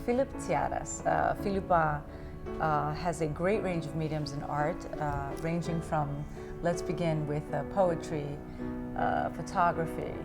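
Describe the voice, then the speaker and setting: A woman's voice: deep voice, fairly even intonation, a little slow, loud music behind the voice. A woman hosting a TV interview at a guest's home, asking admiring, leading questions. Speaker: TV interview host.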